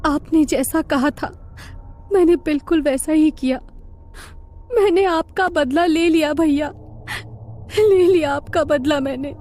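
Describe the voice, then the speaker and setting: A woman's voice: high voice, slow pace, pauses often, warm tone, faint music behind. A woman playing a frightened, distressed young woman in a horror story, with urgent, pleading delivery. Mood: tearful resolve.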